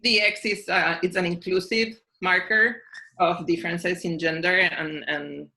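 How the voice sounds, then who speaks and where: A woman professor speaks over a video call in a measured, unhurried way, pausing on fillers. Her voice has a gravelly edge yet a bright tone. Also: on the low side, fairly even intonation. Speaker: guest professor. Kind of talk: casual interview talk.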